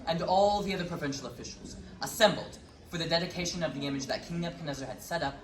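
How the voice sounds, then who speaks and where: A man's voice: fairly high pitch, fast. A man narrating a dramatized Bible recitation with emphatic delivery. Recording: echoey hall, faint noise.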